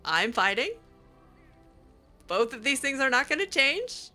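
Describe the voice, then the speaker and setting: Bright, sarcastic woman gamer. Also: high-pitched, big pitch swings, unhurried, faint music behind. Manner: sarcastic, hesitant, laughing asides. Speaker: roleplay game player and channel host. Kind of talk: roleplaying dialogue with game characters.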